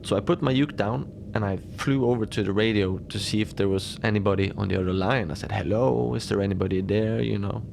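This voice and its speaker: Gravelly, unflappable station manager played by a man. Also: on the low side, a little fast, hardly any pauses, a little mellow, slight background noise. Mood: animated, intrigued. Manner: casual rambling, wry understatement, small chuckles. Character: unflappable lone male facility manager at an Antarctic station. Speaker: host and narrator of a fiction podcast. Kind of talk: cozy horror audio diary, radio transmission monologue.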